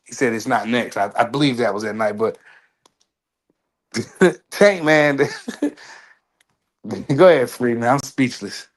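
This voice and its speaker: A man's voice: medium pitch, slow pace, pauses often. A man co-hosting a casual boxing talk show, speaking loosely and rambling. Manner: loose, rambling, trails off with laughs. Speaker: co-host. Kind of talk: casual sports chat.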